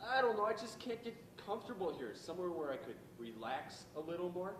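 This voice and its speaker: Nervous, awkward date boy played by a young man, lively pitch, amateur stage play, far-off hissy recording. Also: fast. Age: young adult. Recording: distant echoey stage recording, rumbling hiss.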